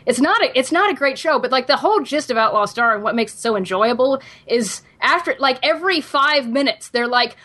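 A young woman co-hosting a casual podcast, hesitant with fillers, her pitch jumping up when she gets excited. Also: a little fast, hardly any pauses. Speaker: podcast co-host. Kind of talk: casual chat.

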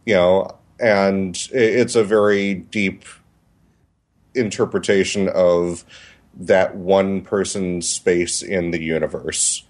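A man co-hosts a podcast in relaxed conversation. His voice sits somewhat low, and he speaks thoughtfully, often pausing and restarting his sentences. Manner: hesitant, many restarts, thoughtful. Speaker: podcast co-host. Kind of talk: casual podcast chat.

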